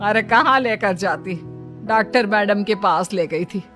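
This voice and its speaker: A woman's voice: medium pitch, a little slow, a bit bright, faint music behind, natural voice. A woman voicing a domineering, insistent older mother-in-law in a dramatic story. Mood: angry, scolding.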